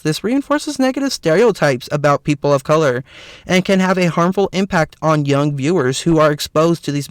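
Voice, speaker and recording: A slightly hoarse man with a raspy voice hosts a solo podcast, talking earnestly with lots of "you know" fillers. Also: on the high side, dry recording, studio quality. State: slightly hoarse, clearing throat. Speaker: podcast host. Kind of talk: solo podcast commentary, partly read from notes.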